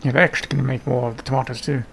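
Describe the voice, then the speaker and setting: A man's voice: mid-range voice, flat delivery, very fast, gravelly, a bit bright, slight background noise. A man giving casual gameplay commentary, muttering to himself as he thinks aloud. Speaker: gaming video host.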